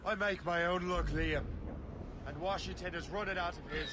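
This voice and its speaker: An actor playing a bold, self-assured young ship captain in a video game cutscene, giving short, firm replies. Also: male voice, high-pitched, normal speed, close to an everyday voice. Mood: resolute. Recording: game audio with loud sea noise.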